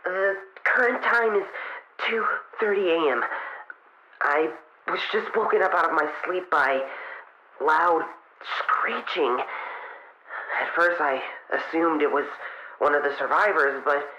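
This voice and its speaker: Man voicing a halting, bright-voiced young fox adventurer. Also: on the high side. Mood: shaken. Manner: hesitant, halting, vulnerable. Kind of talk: audio drama monologue as a recorded log. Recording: dull lo-fi recording with noise, clicks.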